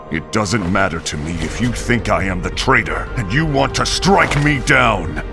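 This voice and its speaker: A deep, deliberate male voice a betrayed warrior who will not forgive his former comrade. He speaks slowly and gravely, his pitch swinging widely between low menace and sharp outbursts. Dramatic score plays under him. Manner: grave, bitter, accusing. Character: stern betrayed male warrior leader, unforgiving. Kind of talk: video game cutscene voice acting.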